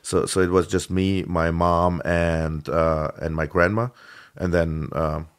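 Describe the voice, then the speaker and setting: Deep-voiced man, halting with fillers. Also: little pitch movement, smooth. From Iran. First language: Armenian. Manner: hesitant, many fillers and restarts. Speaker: guest. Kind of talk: conversational interview.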